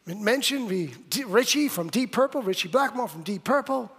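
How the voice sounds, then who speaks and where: A man in his sixties with an American accent, preaching a sermon in non-native German with English slips, swinging from loud bursts to hushed lines. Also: extremely high pitch, expressive, moderate pace, slightly rough. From United States. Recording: long hall reverb.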